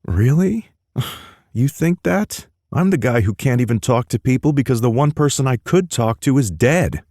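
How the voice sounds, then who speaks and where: A man's voice: mid-range voice, animated, moderate pace, mellow, dry recording, clean studio sound, voice pushed up. A man voicing a joking, guarded young college man. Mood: bantering. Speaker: college student.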